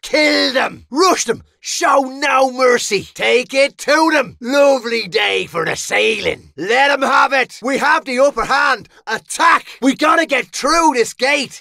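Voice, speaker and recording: An actor playing a cocky, wry medieval sellsword with an Irish accent and colourful, theatrical delivery in a video game. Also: male voice, very high-pitched, creaky, pushed and bright, no echo, clean studio sound, heavily acted. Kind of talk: video game battle barks and voice lines.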